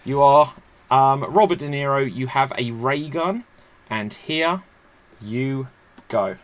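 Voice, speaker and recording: A man's voice: mid-range voice, slow pace. A man hosting an online improv comedy game over a video call, wearing a headset and announcing the rounds in an upbeat way.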